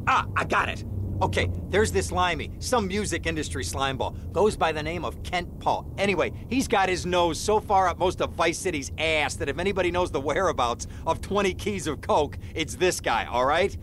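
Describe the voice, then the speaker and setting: An actor playing a nervous, whiny crooked lawyer in a video game, stammering and repeating himself. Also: male voice, fairly high pitch, expressive, normal speed, fairly bright tone, slight background noise. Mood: anxious scheming. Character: jittery, whining, panicky crooked lawyer, adult man. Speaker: lawyer character. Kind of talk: video game cutscene acting.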